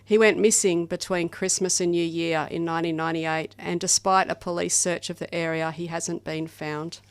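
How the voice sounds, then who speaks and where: A woman's voice: fairly low pitch, steady tone, normal speed, clear, resonant tone, no room sound. An Australian woman reading scripted memorial tributes for a podcast.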